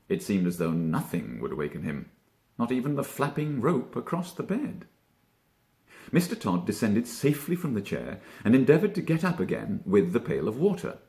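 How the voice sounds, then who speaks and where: A man narrating a children's story theatrically, expressive and deliberate, drawing out key words. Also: lowish voice, creaky. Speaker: storybook reader, stage actor.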